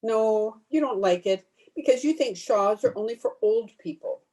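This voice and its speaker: A woman's voice: fairly high pitch, moderate pace, mellow, close to an everyday voice, poor audio. A woman playing a blunt, disapproving cousin in old-time radio drama, prim and cutting. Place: at home, recorded over video call.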